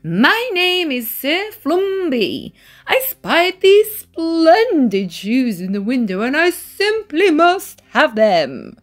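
A woman voicing a pompous, merry gentleman customer in a children's storybook, exclaiming grandly. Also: sits high, wildly swooping pitch, slow, voice pushed up, full character voice. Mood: delighted, grand.

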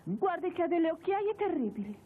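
High-voiced earnest young student. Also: female voice, very animated, fairly quick. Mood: concerned, teasing. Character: earnest young female student, polite but spirited. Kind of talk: animated cartoon dub. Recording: narrow phone-like dubbed audio.

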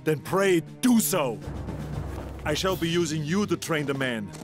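An exacting foreign drillmaster general in a cartoon, English not his first language. The voice sits a little high, and the lines come as formal, clipped commands. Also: animated, unhurried, music in the background. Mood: stern.

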